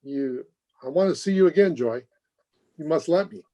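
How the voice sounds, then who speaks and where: An actor playing an ardent, smooth-talking young suitor in an old-time radio play, urgent and pleading. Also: male voice, a little high, normal speed, mellow, lightly acted. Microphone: video call. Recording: dull home video-call audio.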